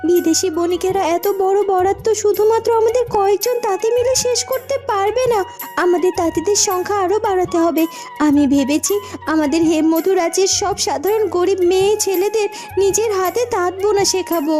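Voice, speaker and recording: Brief: woman as an earnest young cartoon princess, very high, gentle delivery. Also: brisk, soft background music.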